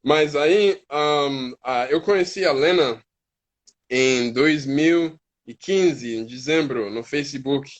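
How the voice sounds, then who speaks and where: American man speaking halting Portuguese. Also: on the high side, lo-fi sound. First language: English. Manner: halting, restarts words while searching in Portuguese. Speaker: guest, hip hop artist. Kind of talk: casual live-stream conversation.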